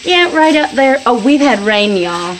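Woman vlogger, middle-aged, slow-spoken and folksy, slightly high voice, faint frying behind, phone mic in a home kitchen. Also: lively intonation, slight background noise, poor audio. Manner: folksy, chatty, laughs easily. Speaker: homestead vlogger. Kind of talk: casual chat. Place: small home kitchen, indoors. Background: food frying and sizzling.

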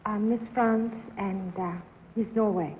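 A young woman from South Africa answering panel questions calmly on a 1950s TV game show, in muffled old broadcast audio. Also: medium pitch, unhurried, a little hiss or hum. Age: young adult.

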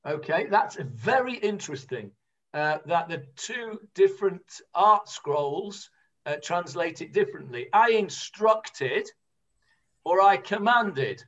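An older man guides a study group through a text on a video call, his voice fairly high and coming through dull and lo-fi. He explains patiently, with frequent ums and self-corrections. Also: very animated, slow pace, fairly bright tone. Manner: hesitant, many fillers and restarts, explanatory. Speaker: Talmud class teacher. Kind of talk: leading an online Talmud study class. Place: home room, on a video call. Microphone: computer mic on a video call.

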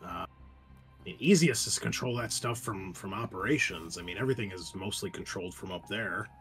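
A man's voice: low-pitched, fairly quick, fairly bright tone, faint music behind. A man acting as game master, casually narrating and explaining things to players.

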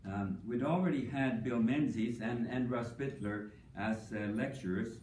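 An older man speaks at an academic tribute, reading prepared remarks in a measured way, sprinkled with ums and dry humor. He is heard from a distance in an echoey conference hall with a faint hum of ambience. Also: medium pitch, darkish tone. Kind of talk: prepared tribute speech with anecdotes.

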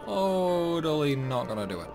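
A man's voice: a little high, big pitch swings, moderate pace, gravelly. A man giving casual playthrough commentary on a video game, rambling along with grumbling asides. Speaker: gaming video host. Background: game music.

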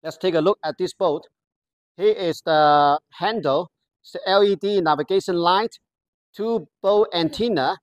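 Halting voice explaining a product step by step. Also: medium pitch, no echo. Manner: halting, careful explanatory delivery in non-native English. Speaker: product demonstrator. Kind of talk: product walkthrough.

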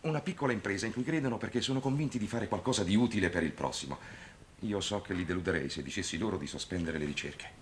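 A man's voice: deep voice, fast, lightly acted. A man acting in a 1960s television drama as a brave, caring middle-aged priest. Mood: earnest. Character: brave, caring priest devoted to his boys. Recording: noisy old 1960s TV soundtrack.

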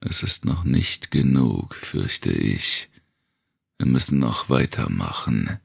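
A man voicing a faceless, cold tormentor in an audiobook, husky, gravelly and slowly mocking. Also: extremely low pitch, lively intonation, no echo, studio quality, full character voice. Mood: coldly menacing. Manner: slow, mocking, deliberate. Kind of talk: audiobook character acting.

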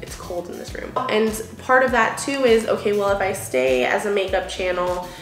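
A woman's voice: medium pitch, big pitch swings, normal speed, roomy sound, faint music behind. A young woman vlogging while doing her makeup, chatty and full of fillers, a little sniffly, filmed by a camera across an indoor room. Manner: chatty, filler-heavy, musing about worries. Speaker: beauty vlogger. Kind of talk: casual get-ready-with-me chat.

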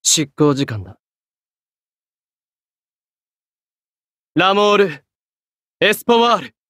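An actor playing a solemn, courteous young executioner in a Japanese anime game, speaking in a polite, measured, grave way. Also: male voice, high voice, big pitch swings, sharp tone, no echo, clean studio sound, full character voice. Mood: commanding. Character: solemn, courteous young executioner, a guilt-burdened French swordsman.

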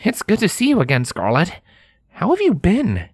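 Man doing an excitable, high-pitched unicorn scholar. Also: moderate pace, slightly rough, no room sound, studio quality, full character voice. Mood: friendly, puzzled. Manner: hesitant, chattery, excitable. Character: eager, awkward bespectacled male unicorn scholar.

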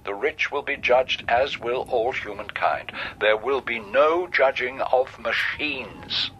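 An actor playing a stern, righteous cardinal in a radio drama, solemn and declamatory. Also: male voice, mid-range voice, takes it slowly, sharp tone, muffled recording. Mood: solemn, preaching. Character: stern, dogmatic male cardinal, righteous and unbending. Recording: band-limited radio sound with background noise.

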